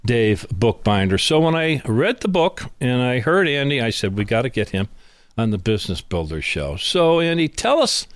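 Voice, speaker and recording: A man hosting a business interview in an informal, conversational way, sometimes pausing to rephrase himself. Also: medium pitch, big pitch swings, no echo, clean studio sound. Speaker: interview host.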